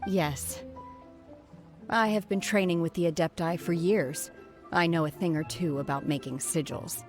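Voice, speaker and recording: An actress playing a reserved young woman raised apart from people, speaking in a calm, measured, formal way. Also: on the low side, lightly acted. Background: soft game music.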